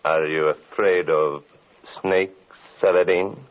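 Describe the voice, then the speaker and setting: An actor playing a menacing, mockingly courteous villain sorcerer in an old radio drama, with a sinister, taunting delivery. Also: male voice, deep voice, fairly even intonation, slow pace. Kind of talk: 1940s radio drama acting. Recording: dull old radio recording.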